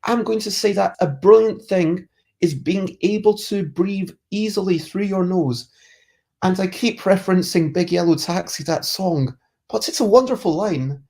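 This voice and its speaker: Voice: medium pitch, moderate pace, vocal fry, warm tone. A streamer with a stuffy cold, musing in casual rambling chat and reading out viewer comments. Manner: musing, easygoing, self-interrupting. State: congested with a cold. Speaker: streamer hosting a chat show.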